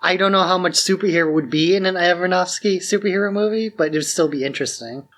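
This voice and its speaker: A man's voice: high-pitched, quick pace, fairly bright tone. Casual man sharing opinions on a podcast. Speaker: podcast co-host. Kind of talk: casual film discussion podcast.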